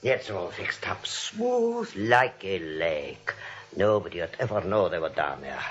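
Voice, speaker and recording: An actor playing a weary, nervous, pleading crooked doctor with a German accent and husky voice, in old radio style. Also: male voice, low-pitched, very animated, measured pace. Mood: weary, coaxing. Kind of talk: old-time radio comedy acting. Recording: dull vintage radio recording.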